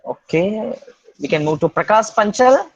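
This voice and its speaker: High-voiced host calling names. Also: male voice, lively intonation, a little slow, dry recording. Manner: hesitant, many "uh" fillers, calls names in turn. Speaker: host of online meetup. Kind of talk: casual virtual meeting. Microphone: video call. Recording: dull, dry video call audio.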